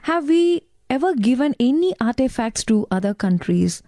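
A woman's voice: high-pitched, sing-song, normal speed, no echo, clean studio sound. A woman interviewer who asks polite questions, calls the guest "sir", and reads a formal closing. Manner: polite, addresses guest as sir, many soft affirmations.

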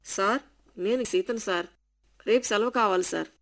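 A woman's voice: medium pitch, normal speed, close to an everyday voice. A woman reading the part of a young wife who pleads with her boss for leave in grief. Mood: anxious. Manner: pleading, sorrowful. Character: grieving young wife, office clerk.

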